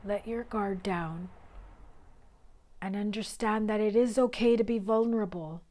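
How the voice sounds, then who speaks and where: A woman doing a tarot reading for her viewers, her voice raspy and hoarse while she recovers, speaking earnestly and sometimes dropping to a whisper. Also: mid-range voice, unhurried, mellow. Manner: earnest and emphatic, drops to whispers, occasional cussing. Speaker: tarot reader.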